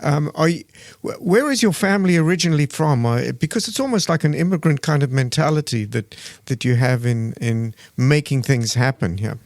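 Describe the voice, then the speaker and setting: A man's voice: on the high side, animated, normal speed, hardly any pauses, darkish tone, no echo. A man co-hosting a podcast interview, upbeat, reading a polished guest introduction. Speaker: podcast co-host.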